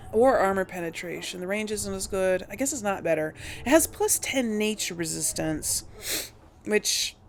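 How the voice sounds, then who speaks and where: A woman's voice: mid-range voice, slight background noise. A woman giving casual gameplay commentary as a host, hesitantly thinking aloud. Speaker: host of a game role-play series.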